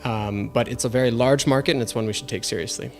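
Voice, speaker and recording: A man's voice: medium pitch, steady tone, fast, a little mellow, faint music behind. A man in his thirties, raised in Tanzania, eagerly explaining his research as an interview guest, with many fillers. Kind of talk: interview answers.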